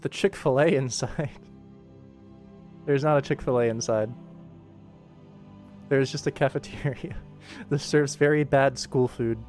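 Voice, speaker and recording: A young man with a mid-pitched voice hosts a casual work livestream. He rambles and thinks out loud in a playful, informal way, with music playing behind him. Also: steady tone, measured pace, pauses often. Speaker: livestream host and animator. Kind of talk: casual livestream chat while working.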